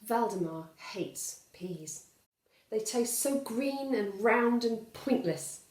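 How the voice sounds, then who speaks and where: Female children's storyteller: highly varied, expressive intonation, addresses young listeners, roomy recording. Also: slow pace. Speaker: storyteller and host. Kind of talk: children's bedtime story reading.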